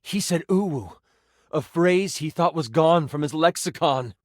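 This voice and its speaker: An animated game master in a fantasy video game. The voice sits on the higher side and swings widely as it narrates with theatrical flair and chatty asides. The sound is dry and close. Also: male voice, measured pace, no room sound. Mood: dramatic. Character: animated personified twenty-sided die running a fantasy game as game master. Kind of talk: dramatic tabletop fantasy narration with improvised asides.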